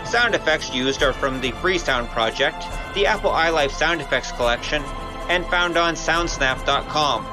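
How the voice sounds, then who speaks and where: A man's voice: on the high side, poor audio. A man announcing the closing credits of an audio drama. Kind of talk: scripted credits read. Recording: music bed.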